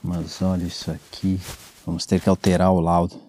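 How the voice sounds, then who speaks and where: An actor playing a coarse, foul-mouthed morgue worker with a crude manner in an audio drama. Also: male voice, low voice, monotone, moderate pace, mellow. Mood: disgusted.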